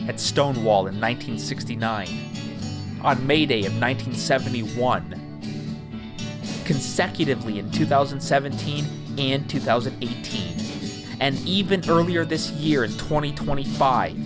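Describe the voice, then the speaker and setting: A man's voice: mid-range voice, normal speed. A man reading a scripted, dramatic narration in a solemn, rousing, declamatory manner. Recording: loud music and crowd behind voice.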